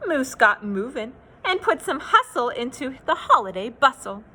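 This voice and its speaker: A woman reading a children's picture book aloud in an expressive, playful, sing-song way, with asides to young listeners. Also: sits high, normal speed. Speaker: children's read-aloud storyteller.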